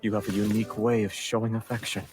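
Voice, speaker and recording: Low, gentle knightly lover, voiced by a man. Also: lightly acted. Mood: calm, gently teasing. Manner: calm, tender, measured. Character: gentle, soft-spoken male knight and devoted lover. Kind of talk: English game voice acting, romantic. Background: music.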